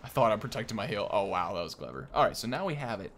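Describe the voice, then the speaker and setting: A man's voice: lowish voice, big pitch swings, fast talker, a bit bright, a little hiss or hum. A young man hosting a gaming video with casual, informal commentary, often thinking aloud as he plays.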